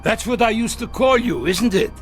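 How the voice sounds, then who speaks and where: An actor playing an evasive, agitated former SS captain in a vintage television drama, halting and blustering as he defends himself. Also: very high-pitched, big pitch swings, soft background music. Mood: jovial disbelief.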